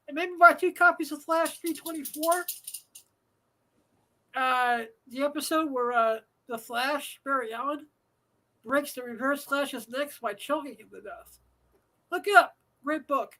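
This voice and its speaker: A man's voice: very high-pitched, unhurried, long pauses, pushed and bright, rough recording. A man hosting a live show from home, talking in a rambling, profane way with many fillers, a slightly nasal voice and a dry throat. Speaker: host. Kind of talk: casual live show monologue.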